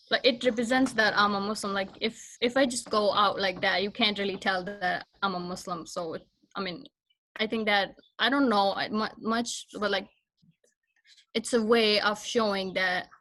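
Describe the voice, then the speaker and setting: Bright-voiced young woman from Pakistan. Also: mid-range voice, steady tone, normal speed, slight background noise. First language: Punjabi. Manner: soft-spoken and hesitant, many fillers and restarts. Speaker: guest. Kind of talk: casual interview chat.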